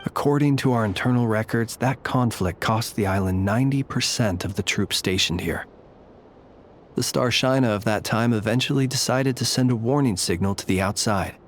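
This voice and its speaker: A man voicing a calm, courtly lightkeeper in a video game, speaking in formal, measured, old-fashioned phrases. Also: fairly low pitch, a little hiss or hum, natural voice. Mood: grave.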